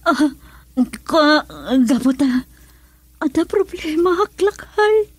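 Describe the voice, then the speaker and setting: A woman's voice: very high-pitched, lively intonation, takes it slowly, no echo, exaggerated voice. A woman playing a distressed, tearful wife and mother working abroad in an Ilocano radio drama. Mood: tearful, evasive. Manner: tearful, pleading.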